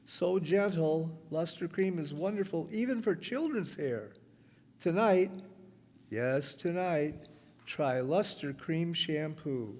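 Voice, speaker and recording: In a reverberant hall, a man plays a polished announcer in the old radio style. His voice is high for a man, and he delivers introductions and sales copy at an unhurried pace. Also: expressive, a little mellow, a little hiss or hum. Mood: smooth selling. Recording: echoey hall stage recording.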